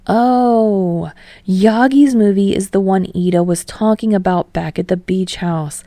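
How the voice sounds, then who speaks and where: A woman's voice: medium pitch, creaky, dark tone, dry recording, studio quality. A woman reading scripted fiction aloud as an audiobook narrator.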